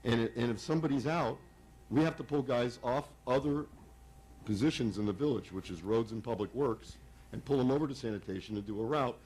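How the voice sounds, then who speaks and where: A hoarse man speaking haltingly at a village commission meeting, often repeating words. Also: mid-range voice, darkish tone, a little hiss or hum, poor audio. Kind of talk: public meeting remarks.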